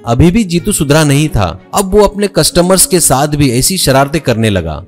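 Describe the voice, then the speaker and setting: Guy narrating a kids cartoon story, slightly high voice, super lively, bg music. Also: moderate pace. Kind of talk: animated children's story narration.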